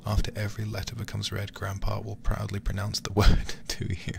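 A man streaming a game in ASMR style, reading aloud and commenting in a hushed, intimate way close to the microphone. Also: fairly low pitch, completely flat delivery, background noise. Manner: hushed, slow and intimate delivery with breathy whispers.

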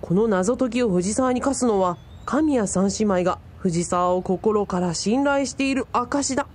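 A woman's voice: mid-range voice, a little slow, a little mellow, husky. A woman playing an earnest young male part-timer in a radio drama, telling his tale with pompous, very polite theatricality. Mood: earnest, formal. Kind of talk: radio drama, formal old-fashioned storytelling.

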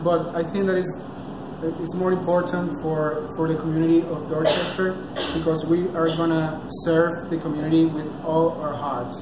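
A man in his thirties from Venezuela, with a Venezuelan Spanish accent, reading a prepared speech in a small cafe with careful, halting delivery. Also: on the high side, little pitch movement. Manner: careful, halting, reads from a clipboard, frequent uh and restarts. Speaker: nonprofit founder giving a launch speech. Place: small cafe with big street windows, indoors. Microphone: far camera. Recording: echoey room with noise.

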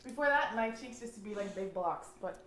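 A woman's voice: medium pitch, animated, brisk, a bit bright. A young woman chatting casually in a home kitchen, some distance from the camera. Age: young adult. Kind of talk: casual chat. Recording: echoey room, faint noise, off-mic.

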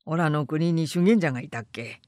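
A woman voicing a crafty, gruff male rogue in a storybook reading, blunt and speaking rough rural dialect. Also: deep voice, normal speed, a little mellow, no room sound, studio quality, pitched below the performer's own voice, lightly acted. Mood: sly, explaining.

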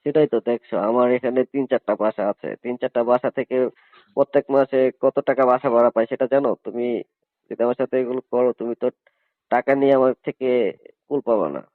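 A man's voice: mid-range voice, fairly even intonation, fast, no room sound, lightly acted. A man playing a coercive college hostel superintendent in a phone-call drama, insistent and persuasive as he pressures a student.

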